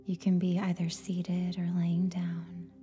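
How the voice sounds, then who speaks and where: A woman with a soft, breathy, near-whispered voice guides a meditation in a soothing, gentle way, leaving long pauses between phrases. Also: fairly low pitch, completely flat delivery. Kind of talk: guided meditation, scripted narration. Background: meditation music with singing bowl tones.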